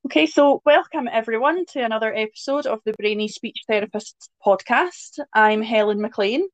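A woman co-hosting a podcast who gives a clear, upbeat welcome to listeners. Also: fairly high pitch, moderate pace, no echo, lo-fi sound. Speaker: podcast co-host. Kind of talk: scripted podcast intro. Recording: podcast intro with music underneath. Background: music.

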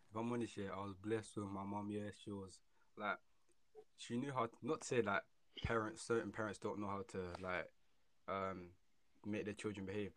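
A man's voice: on the low side, a bit bright, poor audio. An eighteen-year-old man with a London accent, chatting casually in a slangy, laid-back way as a guest. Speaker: podcast guest, student. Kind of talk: casual chat.

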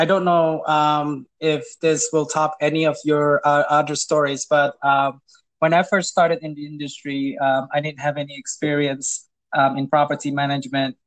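A man's voice: on the high side, fairly bright tone, rough recording. Hesitant man telling property tales. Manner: hesitant, many fillers and restarts; amused, chuckles while telling. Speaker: guest, property manager. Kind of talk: casual storytelling interview.

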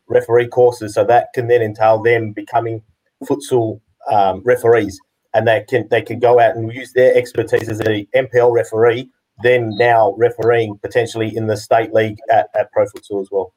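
A man's voice: medium pitch, mellow, rough recording. A man who is a guest in a casual podcast interview, steadily explaining referee training and often repeating "as well". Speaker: guest from a partner organisation running referee courses.

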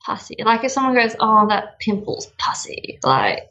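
A woman's voice: on the high side, sing-song, normal speed, pushed and bright, dull audio. A young woman with an Australian accent, chatting as an interview guest in a bubbly, giggly way and drawing out words for emphasis. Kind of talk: casual interview chat.

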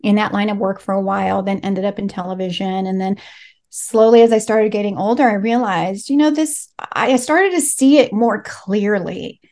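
A woman's voice: medium pitch, moderate pace, darkish tone. A middle-aged woman from Los Angeles, a native Spanish speaker, telling her story in an earnest, reflective way as an interview guest. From Los Angeles, United States. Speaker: life coach, interview guest. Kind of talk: interview conversation.

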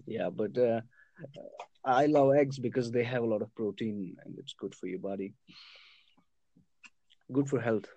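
A man's voice: mid-range voice, a little slow, long pauses, mellow, dry recording, dull audio. Man from Kashmir, casual conversation, hesitant delivery, frequent laughs, dry mic. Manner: hesitant, many fillers, laughs often. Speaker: conversation partner. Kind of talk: casual chat.

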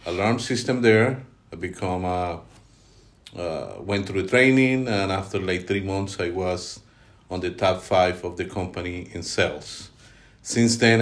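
A middle-aged Colombian man with a Colombian Spanish accent and a very low voice, answering podcast questions. He talks haltingly, filling gaps with "uh" and restarting his sentences. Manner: halting, many fillers and restarts. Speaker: guest, team leader. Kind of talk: podcast interview.

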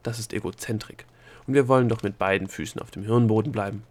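A man voicing a prim, bureaucratic female thought-creature in a storybook, with a haughty, formal and measured manner. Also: fairly low pitch, fairly even intonation, natural voice. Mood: dismissive. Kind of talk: storybook character dialogue.

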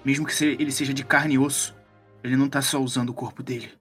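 A man plays a grim occult detective in a tabletop role-play. He has a Brazilian accent and a mid-pitched voice, terse and ominous, with faint music behind him. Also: little pitch movement, fast talker, fairly bright tone, lightly acted. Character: world-weary, grim male occult detective.

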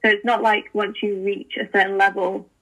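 A woman's voice: mid-range voice, little pitch movement, a little fast, fairly bright tone, slightly rough, rough recording. A young woman, a podcast guest, chatting enthusiastically with lots of fillers and restarts. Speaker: podcast guest, language learning founder. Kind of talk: casual interview chat.

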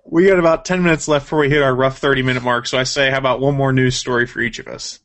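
A man with an average-pitched voice co-hosts a relaxed talk show. He speaks conversationally and gives measured opinions, as in a casual chat between hosts. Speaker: show host. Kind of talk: casual co-hosted chat about gaming and comics news.

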